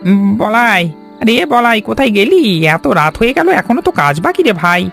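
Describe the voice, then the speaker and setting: Cartoon village confectioner dad, male actor, creaky voice, hugely expressive swings, humble and devoted, music underneath. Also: sits high, fairly quick, exaggerated voice. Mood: worried. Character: humble, devoted village sweet-maker father, anxious about work. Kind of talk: animated story dialogue. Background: background music.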